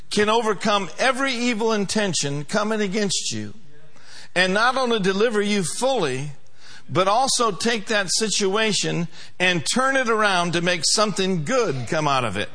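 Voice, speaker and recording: A man's voice: high-pitched, expressive, moderate pace, sharp tone. A male pastor preaching a sermon to a congregation, emphatic, with call-and-response.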